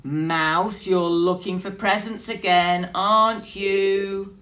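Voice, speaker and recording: A woman's voice: mid-range voice, steady tone, slow pace, fairly bright tone, pitched above the performer's own voice. A woman voicing a grumpy, stern male bear in a children's storybook, declaring and scolding as it speaks. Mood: reproachful. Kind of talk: children's storybook read-aloud.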